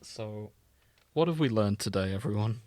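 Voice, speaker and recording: A man's voice: on the low side, animated, normal speed, warm tone. A man hosting a podcast in a casual, conversational style. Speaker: podcast host. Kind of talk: casual chat.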